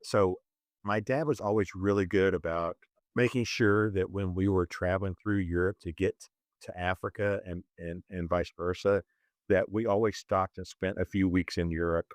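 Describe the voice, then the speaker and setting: A man discussing films conversationally as a podcast host, in a halting, self-correcting way. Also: low voice, steady tone, no room sound. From moved to Zambia at eleven, schooled in Kenya.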